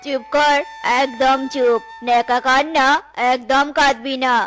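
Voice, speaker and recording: A stern, bossy eagle chief. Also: female voice, high voice. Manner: commanding, scolding.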